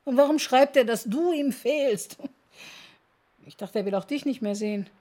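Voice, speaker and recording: A woman's voice: high voice, normal speed, mellow. An actress playing a bitter, middle-aged mother in a radio drama, curt and reproachful with her daughter. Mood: reproachful, suspicious.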